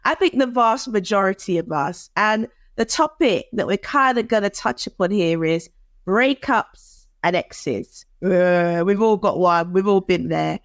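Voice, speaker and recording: A woman co-hosting a podcast in casual chat, animated and quick to laugh, with dramatic asides. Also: medium pitch, no room sound, clean studio sound. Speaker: podcast co-host.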